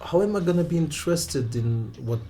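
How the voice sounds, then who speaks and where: A man in his thirties with a Romanian accent, a non-native English speaker, telling stories from his own life in an interview, halting and restarting often and laughing along. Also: a little high, expressive, warm tone, a little hiss or hum. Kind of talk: relaxed personal storytelling.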